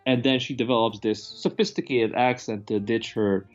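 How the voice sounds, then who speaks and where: A man's voice: mid-range voice, fairly even intonation, normal speed, muffled recording, faint music behind. A man chatting casually about films in a rambling, opinionated way, with some profanity. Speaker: movie review host. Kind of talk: casual film review chat.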